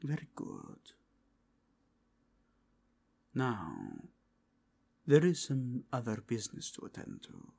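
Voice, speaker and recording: A man voicing a solemn, courteous, zealous werewolf inquisitor in a fantasy audio roleplay, speaking in formal, deliberate, archaic phrases with a husky, gravelly voice. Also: mid-range voice, huge pitch swings, warm tone, heavily acted. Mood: measured, courteous. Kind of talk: fantasy ASMR roleplay acting.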